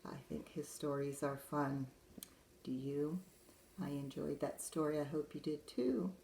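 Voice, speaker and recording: Brief: encouraging woman librarian, lowish voice, echoey room. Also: a little slow, gravelly, slight background noise. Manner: gentle, encouraging. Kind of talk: reading a picture book aloud to children. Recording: roomy echo.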